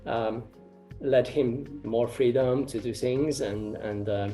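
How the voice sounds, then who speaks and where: A man from Romania with a warm, relaxed tone at a middle pitch. He answers interview questions slowly and hesitantly, full of ums and restarted phrases, and faint music plays underneath. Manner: hesitant, many fillers and repeated starts. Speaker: interviewee, artist and ecology researcher.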